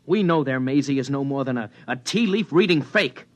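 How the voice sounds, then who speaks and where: A man's voice: mid-range voice, expressive, a little fast, no room sound, dull audio, close to an everyday voice. An actor in a 1950s radio drama playing a defiant male scientist who speaks brusquely and combatively.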